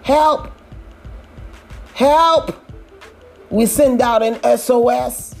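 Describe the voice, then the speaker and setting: A woman leading a fervent, pleading prayer, repeating her appeals and rising to shouts. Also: a little high, very slow, gravelly, faint music behind. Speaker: prayer leader.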